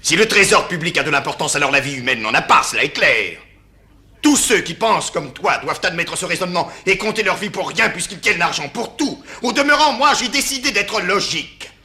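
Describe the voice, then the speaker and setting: Forceful, mocking young Roman emperor. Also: male voice, high-pitched, expressive, fairly quick, exaggerated voice. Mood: menacing. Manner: sardonic, commanding, declamatory. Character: imperious young male Roman emperor, mockingly logical and menacing. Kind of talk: mid-century French radio theatre acting.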